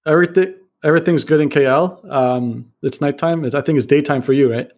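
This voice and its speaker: A man hosting an interview podcast, reading a measured intro and then asking questions in a friendly, chatty way. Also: a little high, darkish tone. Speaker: podcast host. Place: Kuala Lumpur.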